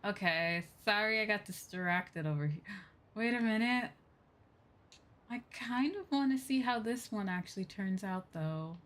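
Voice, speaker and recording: A woman's voice: medium pitch, animated, unhurried, fairly bright tone. A woman chatting casually and expressively as she commentates a game livestream. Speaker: streamer. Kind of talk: casual game livestream commentary, reading on-screen dialogue aloud. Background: game music.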